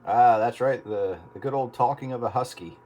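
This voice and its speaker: A man's voice: mid-range voice, normal speed, mellow, a little hiss or hum, low-quality recording. A man talking casually with other operators over the radio.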